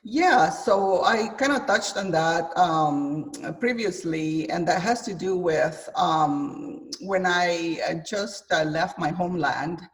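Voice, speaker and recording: Woman from Guatemala, interview guest; halting, filler-heavy delivery, a bit off-mic on a video call. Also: lowish voice, recorded from a distance. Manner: hesitant, many fillers, thoughtful. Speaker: chef and author guest. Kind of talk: interview conversation.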